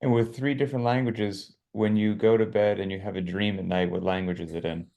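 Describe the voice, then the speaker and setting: An American man with a fairly low voice, hosting a relaxed interview over a video call. He asks his questions in a friendly, chatty manner, often circling back and rephrasing as he goes. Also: fairly quick, vocal fry. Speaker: interview host.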